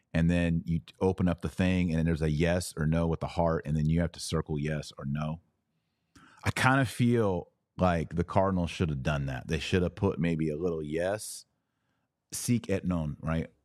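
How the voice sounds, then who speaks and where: A man's voice: deep voice, a little mellow, dry recording, studio quality. A man hosting a podcast, reading documents aloud with emphasis and adding informal, colloquial explanations as commentary.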